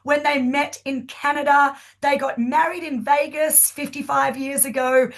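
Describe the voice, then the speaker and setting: A woman's voice: high voice, little pitch movement, unhurried, gravelly. An Australian woman whose first language is Swiss German, telling her story with chatty enthusiasm as a guest in a podcast interview.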